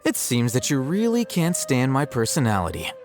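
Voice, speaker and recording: A man's voice: mid-range voice, wildly swooping pitch, darkish tone, faint music behind. An actor playing an aloof, smug young male scholar in a video game, with a dry, needling manner. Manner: dry, sardonic, unhurried needling.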